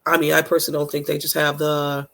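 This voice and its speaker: A co-host in a casual sports chat with a hoarse, nearly gone voice, giving blunt, emphatic opinions. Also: mid-range voice, completely flat delivery, fast talker, a bit bright.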